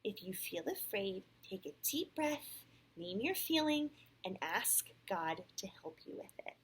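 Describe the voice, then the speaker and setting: A woman voicing a calm, reassuring father in a children's storybook, gentle and instructive. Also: mid-range voice, measured pace, slightly rough, low-quality recording.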